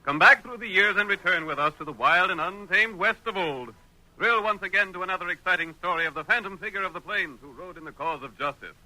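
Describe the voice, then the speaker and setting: A man's voice: high-pitched, quick pace, sharp tone, low-quality recording. An American man narrating an old radio western in a dramatic, declamatory style. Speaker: announcer and narrator. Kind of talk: 1940s radio drama narration, booming and theatrical. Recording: old radio broadcast recording.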